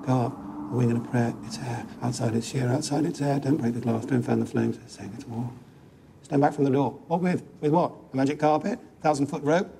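A man reading a dramatic poem in clipped, intense fragments, his delivery often hushed. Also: mid-range voice, creaky, slight background noise. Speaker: reader. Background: music.

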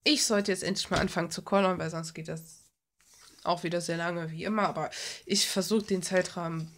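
A woman's voice: fairly low pitch, steady tone, a little fast, fairly bright tone. A young woman rambling casually and thinking aloud in an offhand way, as an art vlogger chatting while she works at a desk at home. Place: small cluttered room at home. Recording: noisy home recording with clicks.

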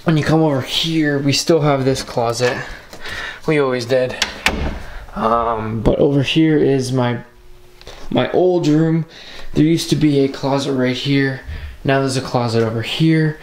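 A man's voice: mid-range voice, unhurried, noisy background. Teen guy vlogging a house tour, handheld camera, echoey bare rooms. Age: teens. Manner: rambling, excited, lots of "like" and "um". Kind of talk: casual house-tour vlog. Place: car, then empty house indoors. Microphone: handheld wide-angle camera at arm's length.

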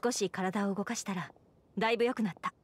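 A woman's voice: mid-range voice, steady tone, quick pace, a little hiss or hum, close to an everyday voice. A woman playing a dutiful young knight commander in an anime game, speaking with formal, stoic phrasing. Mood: earnest, composed. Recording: game audio with music behind.

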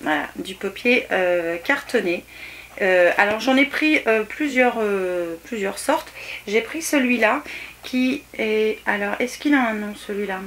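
A woman's voice: medium pitch, a little slow, a bit bright. Chatty woman showing off her haul. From France. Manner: chatty, hesitant with many fillers, enthusiastic. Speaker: haul vlogger. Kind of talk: casual show-and-tell haul. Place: small indoor bedroom set.

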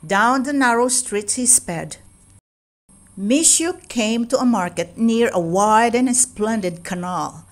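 A woman narrating a children's storybook as an expressive read-aloud, stressing key words with deliberate drama. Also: fairly high pitch.

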